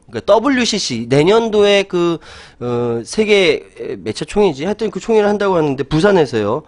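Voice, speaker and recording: A middle-aged man hosts a talk show, arguing his opinions in an animated way and laughing often. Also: sits high. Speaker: host.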